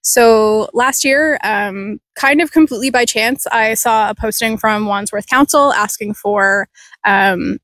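A woman's voice: mid-range voice, a bit bright, no room sound. A woman with a Canadian accent, talking enthusiastically and conversationally as an event organiser being interviewed. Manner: enthusiastic, talkative.

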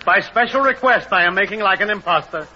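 A pompous impostor bandleader who talks in scrambled, self-important sentences in a high, piercingly bright voice. It comes through as a dull, lo-fi old radio broadcast with a faint hiss underneath. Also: male voice, quick pace. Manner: garbled word order, self-important. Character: pompous male impostor bandleader, mangled grammar. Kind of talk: 1940s radio comedy acting.

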